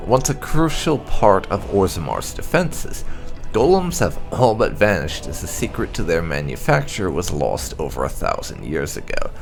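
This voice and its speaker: Male narrator for fantasy codex readings, deep pitch, gravelly edge, music underneath. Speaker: gaming channel host. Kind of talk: scripted narration, reading lore entries aloud.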